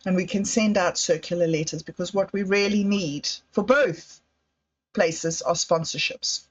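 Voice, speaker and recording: A woman's voice: lowish voice, a little slow, slightly rough. A woman, hosting a livestream and musing in a hesitant, self-doubting way about her projects. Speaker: livestream host. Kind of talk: casual livestream monologue. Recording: slightly dull lo-fi audio.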